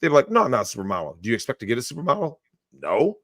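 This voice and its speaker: A man's voice: medium pitch, huge pitch swings, racing, fairly bright tone, dry recording. A man in the middle of his range who talks emphatically and bluntly, jumping into mimicked voices of the people he describes. He swears freely on a livestream, and the sound is dry and close, with no room around it. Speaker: guest. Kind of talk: opinionated livestream talk.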